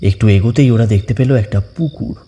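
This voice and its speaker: Male horror audiobook narrator; warm, relaxed timbre, expressive intonation, long echoing tail. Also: on the low side, brisk. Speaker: story narrator. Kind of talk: dramatic scripted narration of a ghost story.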